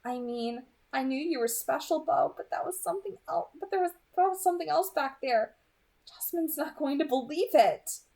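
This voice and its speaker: Woman reading as a devoted, high-voiced vampire sweetheart. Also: animated, moderate pace, creaky, darkish tone. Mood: impressed. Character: tender, devoted young female vampire sweetheart. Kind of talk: amateur audiobook reading.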